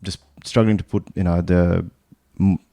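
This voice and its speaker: A man's voice: sits low, fairly even intonation, moderate pace, gravelly, mellow, no room sound, studio quality. A man in his thirties from Bangladesh, a podcast guest talking casually in a thoughtful, meandering way that often circles back and corrects itself. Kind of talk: casual conversation.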